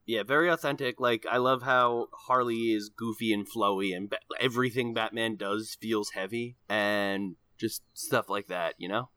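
A man with a fairly low but bright voice chats casually as a podcast co-host. The sound is dry and close. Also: fairly even intonation, moderate pace, few pauses, dry recording. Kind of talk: casual chat.

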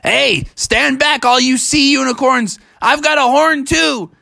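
A storyteller voicing an excitable male adventurer, exclaiming with dramatic wonder. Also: high voice, a little slow, creaky, dry recording, clean studio sound, voice pushed up, full character voice. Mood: defiant.

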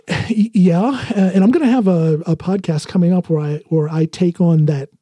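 A man's voice: high voice, moderate pace, dark tone, no room sound. An American man hosting a podcast, talking casually and telling personal stories. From United States. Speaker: podcast host. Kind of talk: casual conversation, personal storytelling.

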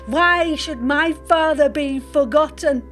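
High-voiced, insistent older woman. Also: fairly bright tone, faint music behind. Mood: indignant. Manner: halting, insistent, emotional. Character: aggrieved, persistent daughter of a Russian prisoner of war. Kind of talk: naturalistic audio drama dialogue.

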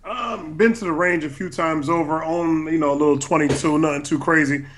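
An easygoing man from Connecticut chats as a guest, pausing on fillers. His voice sits a little high. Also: fairly even intonation, a bit bright. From Connecticut, USA. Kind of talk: casual chat.

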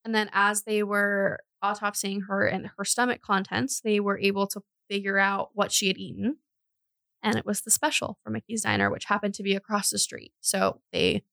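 Casual woman storyteller with a creaky voice. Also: medium pitch, a bit bright, dry recording. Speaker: true crime podcast host. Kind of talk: conversational true crime storytelling.

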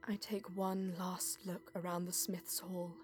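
A woman playing a grieving yet restrained Eldar bonesinger, narrating an audio drama in a hushed, measured, solemn way. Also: fairly low pitch, little pitch movement, natural voice. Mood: solemn, wistful. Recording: music behind the voice. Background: prominent music.

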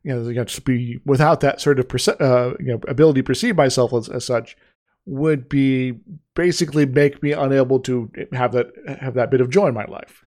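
A man co-hosting a casual podcast, getting over an illness with a sore throat, talking haltingly with many restarts and fillers. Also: medium pitch, steady tone, a little mellow. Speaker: podcast co-host.

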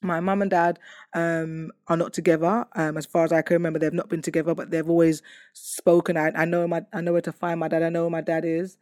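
A woman's voice: fairly low pitch, level tone, fast, no echo, clean studio sound. A woman giving a personal testimony, talking candidly and reflectively with many fillers and restarts. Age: adult. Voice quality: loud voice. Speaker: testimony speaker.

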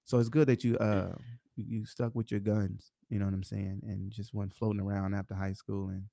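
A man's voice: fairly low pitch, level tone, fairly quick, vocal fry, dark tone, dry recording, studio quality. A man hosting a casual interview podcast, slangy and teasing, often laughing. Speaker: podcast host.

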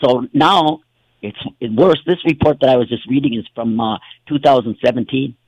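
A man's voice: mid-range voice, normal speed, a little mellow, no echo, muffled recording. A man hosting a talk show, telling stories in a rambling, casual way with many fillers and restarts. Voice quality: gravelly, husky.

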